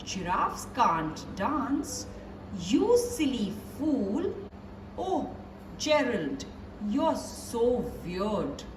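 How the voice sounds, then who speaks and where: A woman voicing mocking jungle animals in a storybook, with drawn-out, sneering taunts. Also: a little high, big pitch swings, heavily acted. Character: mocking jungle animals jeering at a clumsy giraffe. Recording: roomy, distant mic, clearly noisy background.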